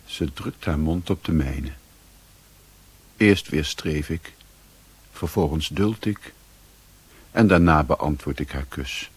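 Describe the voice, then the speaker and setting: A man's voice: rumbling low, breathy edge, full character voice. An actor playing a brooding European drifter who narrates his own story in a radio play, introspective and literary in delivery. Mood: brooding, detached. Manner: slow, introspective. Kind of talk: first-person literary radio drama narration.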